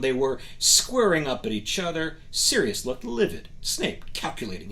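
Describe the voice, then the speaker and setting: Expressive man reading a story aloud, lively pitch. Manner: expressive, theatrical. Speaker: reader of a children's fantasy novel. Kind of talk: dramatic storybook narration.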